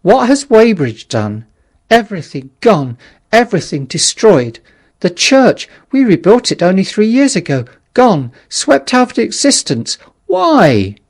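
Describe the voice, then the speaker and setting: A man's voice: high-pitched, huge pitch swings, a little slow, breathy edge, pitched above the performer's own voice, exaggerated voice. A man voicing a distraught, half-mad curate who wails and preaches doom in an audiobook story. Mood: anguished. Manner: frantic, wailing, biblical preaching.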